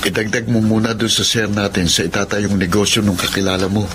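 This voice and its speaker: An actor playing a trusting but anxious, reproachful young friend in an emotive Tagalog radio drama, with a Filipino accent. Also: male voice, lowish voice, flat delivery, brisk, noisy background, lightly acted. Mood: hesitant.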